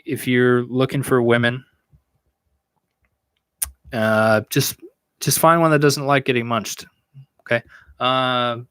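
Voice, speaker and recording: A young man from the States hosts his own podcast stream and answers viewers off the cuff. He talks in a crude, teasing, swear-heavy way at a middle pitch. His voice comes through a stand microphone in a small room, close and dry with almost no room sound. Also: fairly bright tone, clean tone, dry recording. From United States. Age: young adult. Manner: crude, joking, swears a lot. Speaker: podcast host answering chat. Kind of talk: casual livestream chat. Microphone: studio mic on a stand in front.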